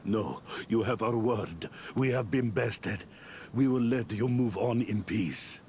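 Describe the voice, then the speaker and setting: An actor playing a proud native raider in a fantasy game, speaking solemnly and formally. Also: male voice, lowish voice, slow pace, a little mellow, slight background noise. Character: defeated, proud native male raider bound by honor. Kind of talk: scripted game cutscene acting.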